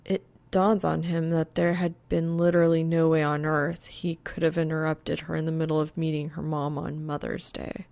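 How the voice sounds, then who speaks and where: Female audiobook narrator, lowish creaky voice, unhurried and measured, very close dry sound. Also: flat delivery, darkish tone, dry recording. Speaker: fan fiction audiobook reader. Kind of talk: scripted narration of a romantic story.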